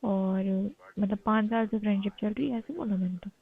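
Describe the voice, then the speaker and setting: A young woman in a casual private phone chat, soft-spoken and sometimes close to a whisper, with a husky voice. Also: medium pitch, a little fast. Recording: narrow tinny phone call recording.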